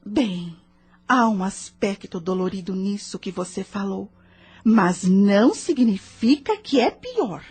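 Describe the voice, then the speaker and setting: An actress with a Brazilian accent playing a patient, wise spiritual counselor who speaks in a measured, didactic, questioning way in an audio novel. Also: female voice, mid-range voice, lively intonation, a little mellow, breathy edge, soft background music, heavily acted. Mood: gently firm. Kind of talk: dramatized audio novel dialogue.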